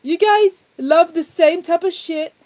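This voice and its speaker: High-voiced woman giving blunt opinions. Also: unhurried, a little mellow, dry recording. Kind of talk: casual group chat on a live stream. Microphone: online voice call.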